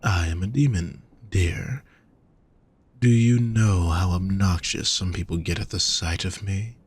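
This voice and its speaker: A man playing a gentle, protective demon lover in an intimate roleplay audio, soft, husky and reassuring, speaking straight to the listener. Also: low-pitched, heavily acted. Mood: wry, relaxed. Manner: soft, reassuring, unhurried coaxing. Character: gentle, teasing, protective male demon lover at a fantasy brothel.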